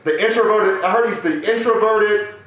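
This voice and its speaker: A man's voice: sits high, normal speed, fairly bright tone. A man hosting a podcast interview, talking in loose, joking, slangy banter. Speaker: podcast host. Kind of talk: casual interview chat. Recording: very echoey room.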